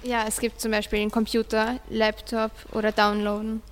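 A woman's voice: on the high side, monotone, brisk, long reverb tail. A teenage schoolgirl giving examples as a guest in a student radio discussion. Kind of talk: answering with examples.

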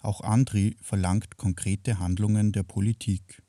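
A man's voice: lowish voice, level tone, a little slow, dark tone, no room sound, clean studio sound. A man reading scripted news narration as a radio reporter.

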